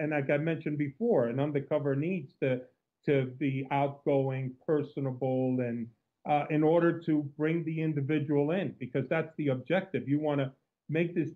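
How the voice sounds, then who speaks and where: Cuban-accented man on a tinny line. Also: on the high side. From Cuba. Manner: outgoing, storytelling, frequent restarts. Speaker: guest, former undercover agent. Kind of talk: interview conversation.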